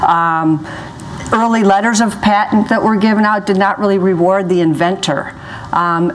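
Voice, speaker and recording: A woman's voice: medium pitch, a little hiss or hum, rough recording. Woman giving a casual academic lecture. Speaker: guest lecturer. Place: lecture room. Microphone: microphone.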